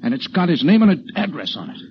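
An actor playing an eager, loyal, simple young helper in a 1940s radio drama, with a folksy, hesitant manner. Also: male voice, on the high side, big pitch swings, fast talker. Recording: muffled, noisy old radio broadcast.

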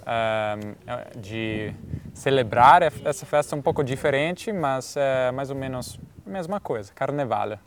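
A man's voice: mid-range voice, expressive, unhurried, slight background noise. Italian guy talking portuguese, accent, stops and restarts to find words. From Italy. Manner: searching for words, many restarts. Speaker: guest. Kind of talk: casual conversation.

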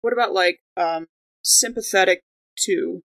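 A woman's voice: fairly low pitch, measured pace, gravelly, a bit bright, low-quality recording. Casual woman playing a tabletop game. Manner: informal, thinking aloud. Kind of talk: casual improvised tabletop role-play chat.

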